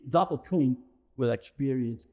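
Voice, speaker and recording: A man's voice: mid-range voice, takes it slowly, muffled recording. A middle-aged or older man, chatting in a jokey, theatrical and emphatic way as an interviewed performer. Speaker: pantomime performer being interviewed. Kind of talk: casual interview chat.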